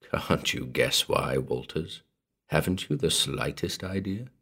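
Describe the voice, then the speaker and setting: A man voicing a hushed, husky, murderous man. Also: low-pitched, big pitch swings, full character voice. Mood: quiet taunting. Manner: slow, gentle, taunting. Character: softly menacing, vengeful murderous man. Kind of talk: dramatic audiobook character reading.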